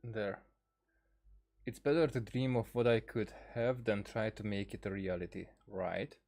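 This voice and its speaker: A man's voice: on the low side, fairly even intonation, unhurried, creaky, a little mellow. A man giving casual, rambling game commentary, thinking aloud hesitantly and often repeating words as he goes. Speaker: gaming video creator.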